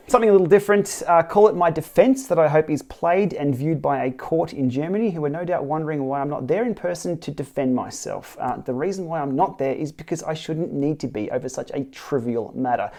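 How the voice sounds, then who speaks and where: A middle-aged Australian man in a small home studio, earnestly and wryly explaining his side of a dispute in a partly read, scripted to-camera talk. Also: on the high side. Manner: earnest and wry, lively emphatic delivery with dry asides. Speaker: cycling tech YouTuber. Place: small home studio with city backdrop, indoors.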